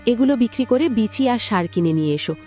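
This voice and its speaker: Woman playing a high-voiced supportive village wife. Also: expressive, quick pace, a little mellow, faint music behind, close to an everyday voice. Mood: resolute. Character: supportive, practical, resolute farmer's wife. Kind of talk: cartoon voice acting.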